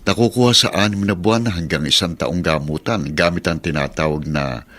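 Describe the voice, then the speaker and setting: Plain-spoken Filipino husband, lowish voice, firm, conversational, radio drama. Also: male voice, a little fast, fairly bright tone, a little hiss or hum. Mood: informative. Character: plain-spoken husband, returned overseas worker, firm and practical.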